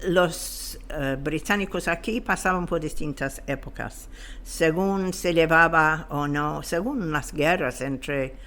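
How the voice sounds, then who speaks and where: A man explaining history in an interview in a hesitant, halting way, often searching for words. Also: a little high, pushed and bright.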